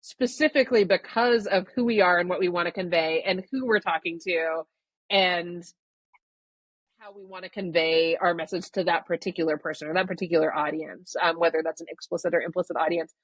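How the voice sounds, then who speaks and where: A woman from Canada, a guest author answering podcast interview questions in a thoughtful, measured way that qualifies her claims. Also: on the low side, steady tone, breathy edge, no echo, rough recording.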